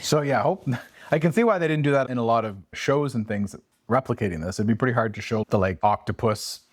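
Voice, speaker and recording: A man with a mid-pitched voice and a dry, close sound co-hosts a relaxed book discussion. He moves between reading aloud and playful, joking commentary. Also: fast talker, no room sound. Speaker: podcast co-host reading a classic aloud. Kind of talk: casual book chat with dramatic read-aloud passages.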